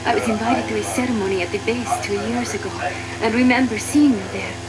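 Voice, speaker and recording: An actress playing a gentle, earnest mother who survived a war, in the dramatic narration and dialogue of a video game cutscene. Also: on the high side, fairly even intonation, fast talker, lightly acted, rough recording. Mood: earnest, reflective. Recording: music bed under the voice. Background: loud cinematic music.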